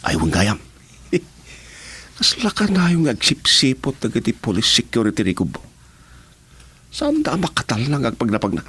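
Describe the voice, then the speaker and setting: A man's voice: mid-range voice, wildly swooping pitch, normal speed, pauses often, a bit bright. A Filipino man playing a grumbling henchman in a radio drama, with colloquial, bantering talk.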